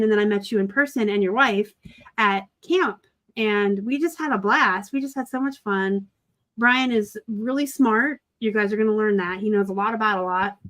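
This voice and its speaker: A woman from Arizona chatting casually as host of a live stream, talkative and quick to laugh. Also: medium pitch, fairly bright tone. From Arizona, raised by a Southern family. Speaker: host of a reseller live chat.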